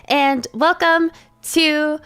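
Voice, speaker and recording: A woman's voice: high voice, fairly even intonation, very slow, a bit bright. A woman hosting a casual livestream, chatting to viewers in a playful, giggly, rambling way. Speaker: livestream host and voice actor.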